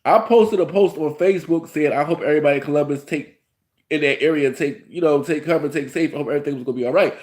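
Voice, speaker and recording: A man's voice: on the high side, fast talker, a little mellow. A man in his thirties with a cold, rambling through a casual review with many fillers and restarts. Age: 30s. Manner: rambling, frequent "you know" fillers, restarts. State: has a cold. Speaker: host. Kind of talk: casual reality-TV review vlog.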